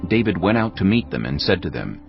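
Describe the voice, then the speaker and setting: A man narrating scripture from a script in a measured, solemn manner. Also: low voice, sing-song, vocal fry, dull audio. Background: background music.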